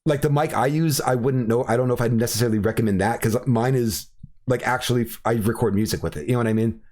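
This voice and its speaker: Rambling casual man, mid-pitched. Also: quick pace, darkish tone. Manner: rambling, swears, lots of fillers and restarts. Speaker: podcast host. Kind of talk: casual chat.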